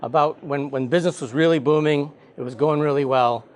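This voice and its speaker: A man in his forties from Michigan talks as an interview guest. He is a life coach with an earnest, slightly high voice. He often repeats a word or two as he gets going. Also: steady tone, fairly quick. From Michigan, USA. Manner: earnest, repeats words when starting sentences. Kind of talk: conversational interview storytelling.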